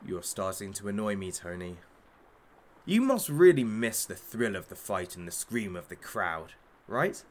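A man's voice: fairly low pitch, sing-song, a little slow. An actor playing a masked kidnapper who hosts a sadistic hostage talk show in an audio drama, as a mocking, theatrical showman. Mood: needling.

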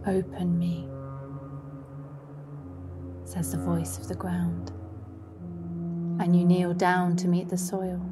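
A woman narrating a scripted guided meditation in a deliberate storytelling manner. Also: on the low side, monotone. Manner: slow, deliberate storytelling, at times dropping into whispers. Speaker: guide of a meditative sound journey. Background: music.

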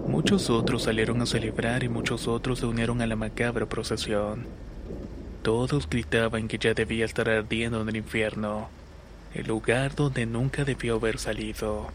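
A man narrating a scripted scary story in a measured, serious reading. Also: mid-range voice, slight background noise. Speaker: narrator of listener-submitted horror stories.

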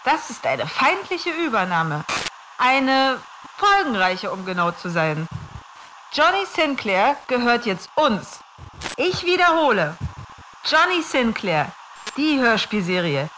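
Woman doing a mock dramatic promo, slow n commanding, clearly noisy recording. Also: a little high, big pitch swings, bright, forceful tone.

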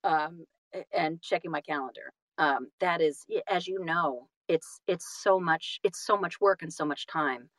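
A woman speaks as a guest in a casual interview. Her voice sits a little low and the sound is very dry. She is effusive, often breaking off and rephrasing as she goes. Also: fairly bright tone, dry recording. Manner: effusive, halting and self-correcting. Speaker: interview guest, singer and audiobook narrator.

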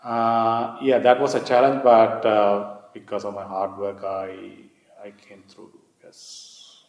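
A man's voice: on the low side, completely flat delivery, slow pace. A man with a Sri Lankan accent recounting his own experiences as an interviewee, often repeating his phrases. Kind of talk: oral history interview, personal recollection. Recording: echoey room.

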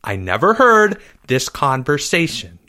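A man voicing a cautious, rule-abiding schoolboy in a storybook, speaking in a firm, deliberate and unimpressed way. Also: mid-range voice, wildly swooping pitch, bright, forceful tone, exaggerated voice. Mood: prim.

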